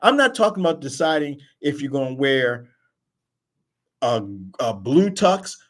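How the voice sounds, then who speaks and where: A man's voice: on the high side, very animated, unhurried. A man hosting an online talk radio show, speaking emphatically in casual chat and often repeating words as he pushes a point. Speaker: host.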